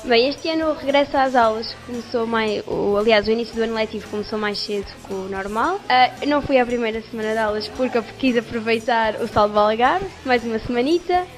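A woman's voice: a little high, fairly quick, few pauses, soft background music. A young adult woman with a Portuguese accent, a university student giving a spontaneous vox pop answer.

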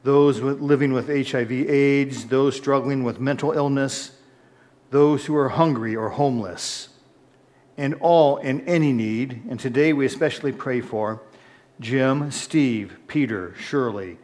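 A middle-aged male pastor intones prayers from the altar of a large church sanctuary. His voice sits at an ordinary pitch, steady and solemn. It is picked up by a far camera and an altar mic. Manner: measured, solemn intoning, rising in the preface. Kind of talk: liturgical prayer and chanted-style preface.

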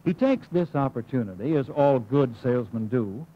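A man's voice: medium pitch, expressive, normal speed. An American man reading scripted instructional narration in an emphatic manner, as the narrator of a training film. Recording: muffled, hissy 1950s film soundtrack.

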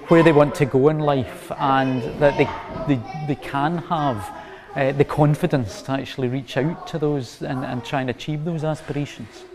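Scottish man, forties, mid-pitched voice, earnest and measured interviewee. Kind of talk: considered interview talk. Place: large community hall, indoors. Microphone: lapel mic.

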